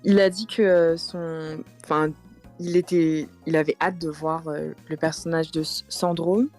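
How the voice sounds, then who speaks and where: A woman's voice: low-pitched, steady tone, a little slow, darkish tone, soft background music. A woman streamer interpreting for a guest, chatty and a bit nervous, with many fillers and restarts. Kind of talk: casual live stream chat and interpreting.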